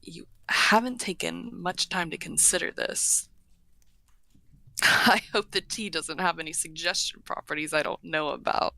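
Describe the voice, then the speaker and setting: A woman playing a courteous, earnest half-dragon broker in a fantasy audio roleplay, speaking formally and with measured eloquence. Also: lowish voice, creaky, bright, forceful tone, heavily acted, rough recording. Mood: flustered, surprised. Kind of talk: scripted fantasy audio roleplay, addressing the listener.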